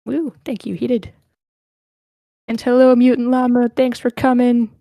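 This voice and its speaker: A woman's voice: on the high side, little pitch movement, measured pace, creaky, mellow, no echo. A woman hosting an online table read, talking casually and informally as she organizes the cast. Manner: informal, chatty. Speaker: host of online script table reads.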